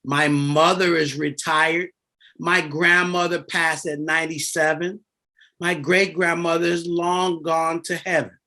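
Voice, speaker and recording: Man, fifties, high-pitched, bright forceful tone, animated podcast guest, emphatic. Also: unhurried. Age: 50s. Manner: animated, emphatic, repeats key words. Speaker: guest, insurance and debt author. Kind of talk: explaining finance in a podcast interview.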